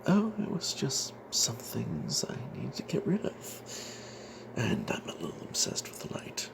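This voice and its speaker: A man narrating a first-person fantasy story as ASMR in a breathy whisper, hushed and halting, with wry asides. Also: medium pitch, wildly swooping pitch. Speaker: storyteller narrating own tabletop character. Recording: noticeable background noise.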